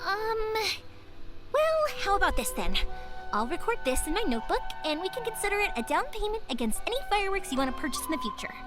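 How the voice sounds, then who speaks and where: A woman's voice: extremely high pitch, very animated, quick pace. A woman voicing a cheerful, kindhearted young fireworks maker in a video game, chatty and earnest, over music. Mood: friendly. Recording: game music playing behind.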